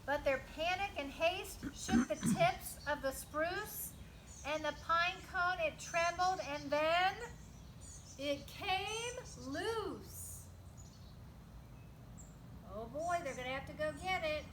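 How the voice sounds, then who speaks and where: A woman reading a picture book aloud to children in an animated, teacherly way, with emphatic delivery and explanatory asides. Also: extremely high pitch, slow, background noise. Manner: animated, emphatic, teacherly, asks listeners questions.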